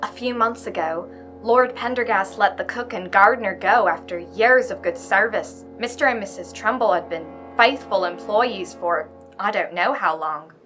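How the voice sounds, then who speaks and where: A woman's voice: mid-range voice, normal speed, a bit bright, soft background music, natural voice. A woman playing an anxious, deferential housemaid who speaks confidingly in a radio play.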